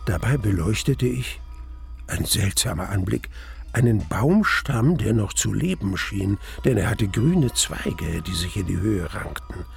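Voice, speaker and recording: Casting: grave adventurer narrating a radio play; gravelly, measured, suspenseful, music underneath. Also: male voice, fairly low pitch, lively intonation, full character voice. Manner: measured, suspenseful, pausing between phrases. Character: grave male narrator recalling an eerie adventure. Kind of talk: dramatic first-person narration in a radio play.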